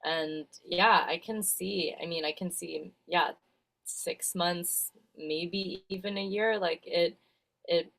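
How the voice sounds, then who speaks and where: A woman's voice: fairly low pitch, steady tone, slow pace, gravelly, a bit bright, poor audio. A woman trainer talking on a video call, thoughtful and trailing off mid-phrase. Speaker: trainer for mothers. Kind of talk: casual video-call interview.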